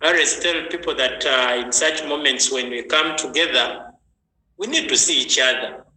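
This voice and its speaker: A man's voice: mid-range voice, moderate pace, pushed and bright. A man hosting an online health training, chatty and greeting viewers by name. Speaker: health session host. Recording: echoey room with faint noise.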